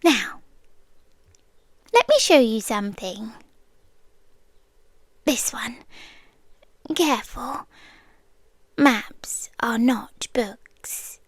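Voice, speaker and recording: A high, soft British female voice, a woman acting as an otherworldly keeper of maps in an ASMR roleplay. She is whimsical and knowing, speaking extremely slowly and often sinking into a breathy whisper. The sound is close and dry. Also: dry recording, full character voice. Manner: slow, deliberate, musing, with dramatic pauses.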